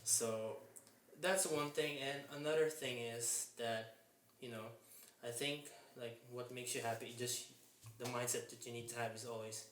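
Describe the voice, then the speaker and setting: A man's voice: medium pitch, fairly even intonation, a bit bright. Earnest young man, echoey room. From Japan. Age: 20s. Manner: earnest, halting, strings thoughts with "and then". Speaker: guest, fitness mentor. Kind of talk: casual podcast interview. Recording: echoey room with faint noise.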